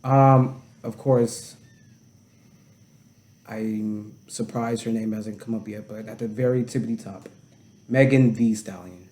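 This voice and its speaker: A man's voice: lowish voice, fairly even intonation, takes it slowly, long pauses, warm tone, a little hiss or hum. A man co-hosting a casual podcast chat, laid-back with dry teasing. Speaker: podcast co-host. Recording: echoey room.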